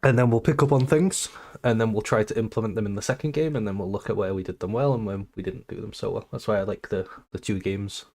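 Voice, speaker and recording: A man's voice: lowish voice, fast, few pauses, darkish tone. Slightly nasal British man. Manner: methodical, explains step by step. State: a little sick, nasal. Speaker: coach. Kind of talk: casual coaching call.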